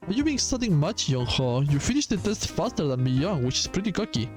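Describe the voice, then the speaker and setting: A man reads as a popular, cocky schoolboy in a visual novel game. He teases in an offhand way, his high voice jumping around over soft music. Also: big pitch swings, a little fast. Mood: teasing.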